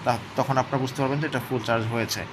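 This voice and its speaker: A man's voice: medium pitch, fairly even intonation, very fast. A man gives a casual, hands-on product review, explaining step by step and often checking in with his listeners. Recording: clear background noise, urban ambience.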